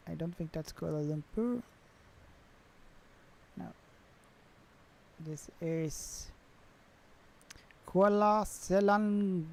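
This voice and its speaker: A man's voice: high-pitched, creaky, a little mellow, rough recording. A young man with a Swedish accent, chatting casually on a flight sim livestream and reading out viewer comments, with many fillers. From Sweden.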